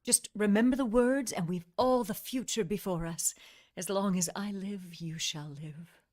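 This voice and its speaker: A woman's voice: mid-range voice, unhurried, a little mellow, natural voice. Radio play witch, played by a woman: mid-range voice, seductive and playful, imperious, used to being obeyed. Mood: tender, mysterious. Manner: coaxing, teasing, imperious, intimate asides. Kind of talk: vintage radio drama acting.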